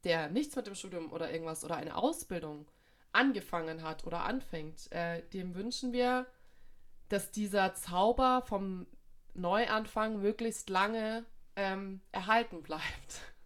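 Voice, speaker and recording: A woman's voice: mid-range voice, a little slow, a bit bright. A young woman from Munich, co-hosting a casual podcast chat, full of fillers, restarts and youthful slang. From Munich, Germany. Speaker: podcast co-host.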